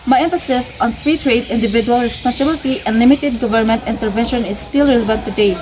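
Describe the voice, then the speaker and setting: A woman's voice: on the high side, fast talker. A young woman reading out a scripted first-person speech as a student presentation, in a recited manner. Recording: noisy ambient background.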